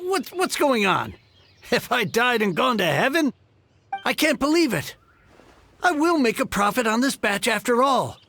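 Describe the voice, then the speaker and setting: A man's voice: high voice, gravelly, fairly bright tone, slight background noise, full character voice. A man voicing an anxious merchant who stammers and frets in a game. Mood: relieved. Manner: nervous, stammers, exclaims. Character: anxious middle-aged male merchant, frazzled and stammering. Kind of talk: game dialogue.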